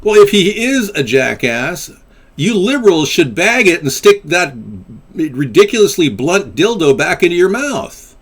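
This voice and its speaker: A man's voice: high voice, sing-song, unhurried. A man hosting a casual call-in web show, rambling and crude, calling people buddy. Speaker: host and presidential candidate.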